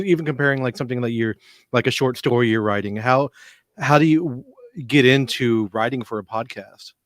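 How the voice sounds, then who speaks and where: Man asking halting interview questions, dry sound. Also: mid-range voice, a bit bright, dry recording. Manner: halting, restarts his questions. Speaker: live stream host.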